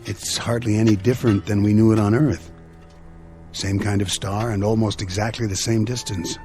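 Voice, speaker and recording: A man's voice: on the low side, fairly even intonation, moderate pace, a little mellow, soft background music, close to an everyday voice. A man playing a dutiful, questioning crewman of a stranded spaceship in a radio drama, speaking earnestly and with deference. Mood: uneasy, wry.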